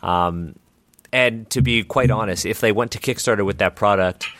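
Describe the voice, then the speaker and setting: A man's voice: fairly low pitch, normal speed, a bit bright, slight background noise. A man answering interview questions, explaining earnestly at length with frequent restarts. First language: Chinese not first language.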